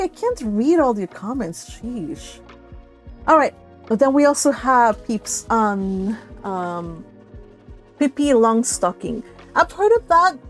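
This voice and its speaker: A millennial woman hosting a book read-along livestream, chatting casually with viewers in an animated, giggly, exclaiming way. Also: a little high. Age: 30s to early 40s. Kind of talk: casual live chat with viewers.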